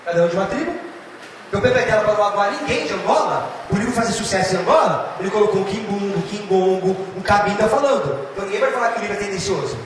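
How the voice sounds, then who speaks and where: A man from Brazil with a São Paulo accent, giving a lively, theatrical literature lecture and addressing students directly. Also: high voice, quick pace. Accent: São Paulo state Brazilian Portuguese. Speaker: literature teacher. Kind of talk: animated exam-prep lecture. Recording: echoey hall, far from mic, noisy.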